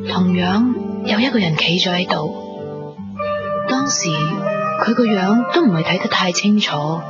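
A young actress playing a lovelorn woman, narrating her own story softly and hesitantly in a radio drama. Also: mid-range voice, close to an everyday voice. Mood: hushed, yearning. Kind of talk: intimate first-person radio drama narration. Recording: dull lo-fi radio recording under loud music.

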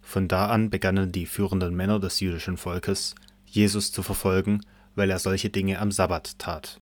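A man's voice: low-pitched, flat delivery, moderate pace. A man narrating a Bible story as the scripted narrator of an audio drama.

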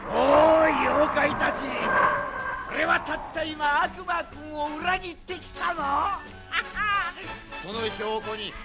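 An actor playing a brash, lazy, boastful demon with rough, swaggering speech in a vintage children's audio drama. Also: male voice, very high voice, slow, exaggerated voice. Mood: gleeful, taunting. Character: brash, lazy, boastful grown male demon, easily cowed. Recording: vintage record drama with loud music.

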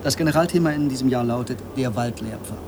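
An actor playing an officious, evasive conference organizer with a wordy, self-important manner in a radio drama. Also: male voice, mid-range voice, fast talker, natural voice. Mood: fussy, insistent. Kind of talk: German radio drama dialogue. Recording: clear background noise.

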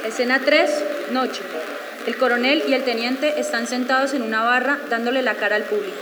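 A very noisy live recording with clinking behind the voice. A woman with a fairly high voice reads stage directions as scripted radio-play narration. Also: steady tone, brisk.

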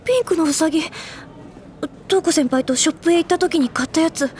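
A woman's voice: extremely high pitch, quick pace, a little mellow. A woman voicing a prickly, aloof teenage schoolgirl who hides her concern, talking in a curt, awkward way in a Japanese audio drama. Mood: flustered.

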